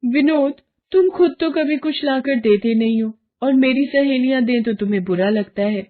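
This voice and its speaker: A woman's voice: high-pitched, normal speed, pitched above the performer's own voice, lightly acted. A woman voicing a greedy, complaining young wife and mother in a cartoon story. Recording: narrow phone-like sound.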